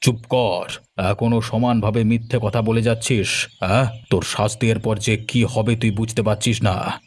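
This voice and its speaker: A man playing a stern, commanding village gentleman who passes judgement in a cartoon. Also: fairly low pitch, steady tone, moderate pace, slight background noise, close to an everyday voice. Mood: stern, threatening. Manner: stern, admonishing. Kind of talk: cartoon voice acting in Bengali.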